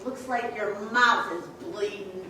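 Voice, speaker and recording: A man's voice: high-pitched, slow pace, pushed and bright, full character voice. An actor playing a concerned, friendly small-town policeman in a live stage radio play, folksy and blunt. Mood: puzzled concern. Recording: echoey theater, distant mic, faint noise.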